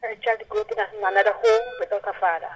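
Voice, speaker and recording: A woman's voice: mid-range voice, steady tone, very fast, slightly rough. A woman with a Belizean accent casually giving an opinion as a phone-in caller, heard over a telephone line. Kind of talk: casual opinion on a call-in show.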